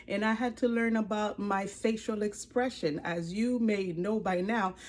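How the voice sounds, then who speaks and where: A woman in her forties with a Haitian accent, giving an earnest, expressive personal testimony to camera. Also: mid-range voice, a little slow. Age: 40s. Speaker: life coach and ministry leader. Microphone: speaking to a camera.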